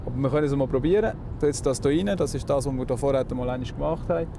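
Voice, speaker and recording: A man's voice: mid-range voice, very fast. A man with a Swiss German accent, a motorway police officer explaining his job casually in a moving car. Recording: clear vehicle road noise.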